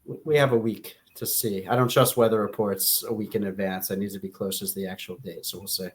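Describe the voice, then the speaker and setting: A man's voice: fairly low pitch, steady tone, quick pace. A man with an American accent co-hosting a podcast, talking informally with a friend. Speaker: podcast co-host. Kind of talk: casual banter between friends.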